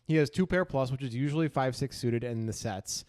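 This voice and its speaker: A man with a mid-pitched voice talks as an analytical podcast co-host. He poses questions and answers them in a conversational style, laying out his reasoning step by step. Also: brisk, darkish tone.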